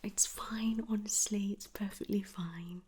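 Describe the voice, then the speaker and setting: A woman whispering gently and reassuringly in an ASMR roleplay, playing a kind midwife at a check-up. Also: mid-range voice, fairly even intonation, unhurried. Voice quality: soft, breathy whisper.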